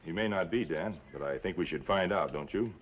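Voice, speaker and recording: Guy as a calm cowboy hero, old tv western, low voice, quick delivery, slightly noisy. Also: muffled recording, natural voice. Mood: grave. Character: calm, serious, authoritative western lawman hero, adult man. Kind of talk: 1950s television western acting.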